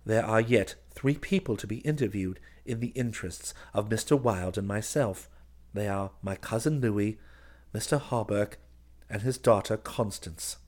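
Guy playing a paranoid delusional would-be ruler in an audiobook, lowish voice, haughty, commanding, intense. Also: moderate pace, a little mellow, natural voice. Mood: triumphant. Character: deluded, imperious, paranoid man with grandiose claims.

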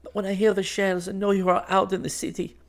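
A man's voice: high-pitched, level tone, fast, voice pushed up. A man voicing an anxious, affectionate young woman who pleads tenderly with her lover in an audiobook. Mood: anxious pleading. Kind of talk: audiobook character dialogue.

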